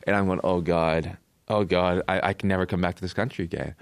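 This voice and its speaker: Low-voiced Canadian man telling stories, dry sound. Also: steady tone, a little fast, creaky, dry recording. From born in Korea, raised in Canada. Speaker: interview guest, filmmaker. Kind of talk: casual personal storytelling in an interview.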